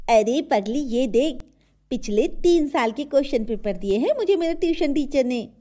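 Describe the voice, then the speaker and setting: A woman's voice: sits high, expressive, moderate pace, warm tone. A woman voicing a cocky, boastful young schoolboy in a cartoon story, teasing and bragging. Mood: smug.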